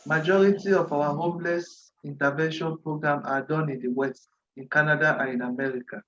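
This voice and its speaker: Fairly high-voiced man on a muffled call. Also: steady tone, fairly bright tone. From Cameroon. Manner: deliberate, repeats phrases, occasional throat clearing. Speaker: nonprofit representative at a virtual dialogue. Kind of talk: formal presentation. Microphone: video call.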